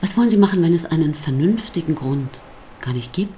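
An actress playing a sardonic, defiant pastor's wife in a radio drama, speaking with provocative, theatrical emphasis. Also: female voice, sits low, animated, brisk, mellow, a little hiss or hum. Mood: defiant, pointed. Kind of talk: German radio drama acting.

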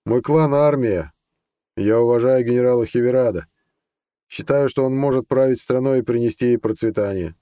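Man reading as a blunt army colonel, mellow-voiced. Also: mid-range voice, normal speed, no echo, studio quality, voice pushed up, natural voice. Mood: firm, conciliatory. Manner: plain-spoken, earnest. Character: blunt, ambitious army colonel, proud plain-spoken soldier. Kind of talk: audiobook character dialogue.

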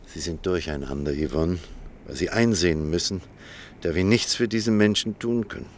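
An actor playing an earnest, conflicted doctor in love in a German radio drama, speaking in a formal, insistent manner. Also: male voice, low-pitched, normal speed, slight background noise, close to an everyday voice. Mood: gentle, consoling.

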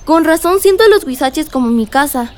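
A woman's voice: sits high, lively intonation, fairly quick. A female performer playing a curious, affectionate young girl in a radio drama, asking innocent questions. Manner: inquisitive, innocent.

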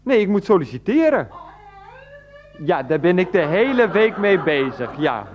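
Pleading, swooping grown son. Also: male voice, high voice, sing-song, a little slow. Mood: coaxing. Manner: stammering, coaxing, often interrupted. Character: pleading, wheedling grown son phoning his mother. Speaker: radio drama actor. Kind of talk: 1990s Dutch radio play acting. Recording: dull, band-limited radio recording.

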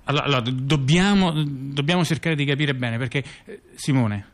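A man's voice: mid-range voice, brisk. A man telling a story conversationally on stage, repeating words, stumbling and throwing in rhetorical questions. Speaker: performer in a two-person theatre dialogue. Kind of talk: conversational storytelling to an audience member.

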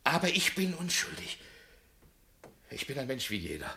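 Condemned man in a cell, impassioned, lively swooping pitch, bright ringing tone, radio play. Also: normal speed, heavily acted. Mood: despairing. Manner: impassioned, crying out his innocence. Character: condemned innocent man pleading in his cell. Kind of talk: radio drama acting.

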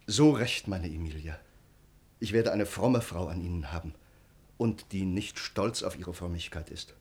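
An actor playing an earnest, brooding count and devoted bridegroom in a formal, declamatory classical German radio play. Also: male voice, low-pitched, measured pace, darkish tone, husky, exaggerated voice. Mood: tender, solemn.

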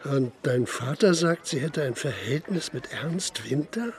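Probing, higher-voiced police investigator. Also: male voice, lively intonation, slight background noise. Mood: probing, patient. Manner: matter-of-fact, insistent, measured questioning. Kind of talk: naturalistic radio drama acting and narration.

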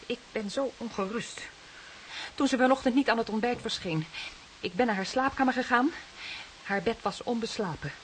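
An actress with a Dutch accent playing an anxious young woman in a radio play, speaking haltingly and repeating her words. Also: on the high side, slight background noise, natural voice, rough recording. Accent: Dutch, from the Netherlands. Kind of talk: radio drama acting.

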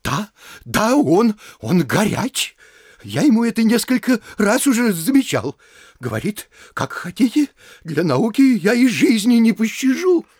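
An actor playing a timid, put-upon school superintendent in a comedy audio drama, nervous, plaintive and repeating himself. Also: male voice, sits high, very animated, a little slow, darkish tone, husky, no echo, studio quality, exaggerated voice. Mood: fretful, resigned.

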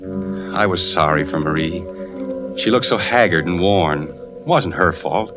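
An actor playing a troubled husband and fire inspector, who moves between brooding narration and intense dialogue in a 1940s radio suspense play. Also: male voice, sits low, a little slow. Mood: grim, brooding. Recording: dull 1940s radio recording with music.

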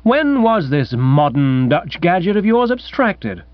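Man playing a high-pitched, excitable young English gent. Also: a little slow, no echo, lo-fi sound. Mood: breezy indignation. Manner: chirpy, indignant, old-fashioned slangy. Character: excitable, breezy young upper-class English gentleman. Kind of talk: comic audiobook character acting.

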